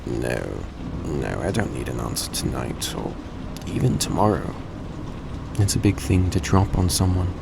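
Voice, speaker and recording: A man acting as a gentle, courteous vampire speaks in a deep, slow voice with a gravelly creak. His phrasing is polite, formal and slightly hesitant, as in an intimate audio drama told straight to the listener. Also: full character voice. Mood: hesitant, caring. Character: courteous, gentle male vampire repaying a debt. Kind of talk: intimate scripted audio roleplay addressed to the listener. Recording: steady background noise behind voice.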